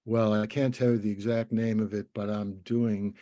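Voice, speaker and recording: A man hosting a casual podcast interview, talking in a halting, rambling, encouraging way. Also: lowish voice, flat delivery, warm tone, no room sound, muffled recording. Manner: halting, rambling, with many repeated word starts.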